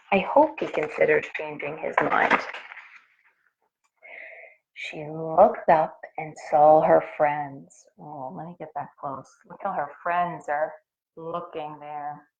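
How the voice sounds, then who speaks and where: A woman reading a picture book aloud expressively to young children, pausing to explain and ask questions as a teacher would. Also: deep voice, a little mellow, highs cut off.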